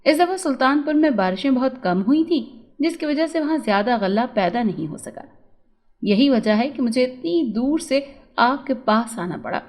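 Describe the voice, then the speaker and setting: Wealthy storybook merchant, male played by a woman: high voice, formal, measured, flattering, businesslike. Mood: smooth, businesslike.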